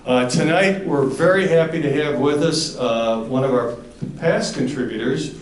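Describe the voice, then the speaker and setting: A man's voice: medium pitch, moderate pace. Man, forum host, casual intro, echoey room, faint noise. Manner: loose, joking, many uh fillers. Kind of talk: introducing speakers. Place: library meeting room.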